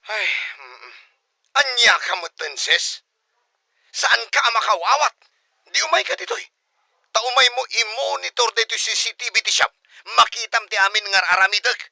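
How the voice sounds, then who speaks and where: A man's voice: sits high, expressive, normal speed, sharp tone, no room sound, exaggerated voice. A man playing a harried, weary mechanic boyfriend, placating and exasperated, in an Ilocano comedy audio drama.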